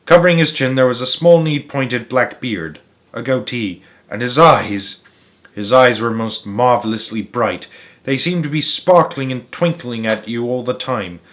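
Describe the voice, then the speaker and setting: Expressive man reading a children's story aloud. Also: medium pitch, unhurried. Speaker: storybook narrator. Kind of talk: expressive read-aloud narration of a children's novel.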